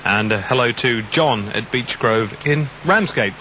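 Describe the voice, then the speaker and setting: A man's voice: medium pitch, measured pace, muffled recording, background noise. A man hosting a music radio show, chatting casually in a cheerful, jokey, talkative way. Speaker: radio host. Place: studio aboard a radio ship at sea. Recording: offshore radio aircheck, music behind.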